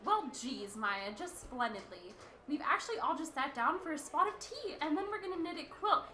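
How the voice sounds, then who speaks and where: Woman acting frightened reporter, high sharp voice. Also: expressive, normal speed. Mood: sarcastic, panicked. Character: frightened, sarcastic female field reporter amid societal collapse. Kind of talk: radio play acting. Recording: roomy echo with faint noise.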